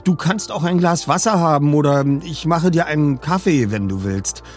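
Man as a courteous teenage detective, slightly raised pitch. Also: expressive, normal speed, faint music behind, close to an everyday voice. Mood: composed, helpful. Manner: concerned, courteous. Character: polite, composed, helpful teenage boy detective. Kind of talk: audiobook character voice.

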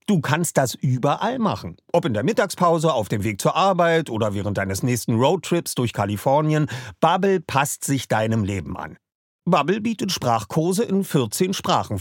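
A man's voice: on the high side, moderate pace, no echo, clean studio sound. A man giving a scripted, upbeat advertisement read. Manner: lively, salesy. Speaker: ad reader.

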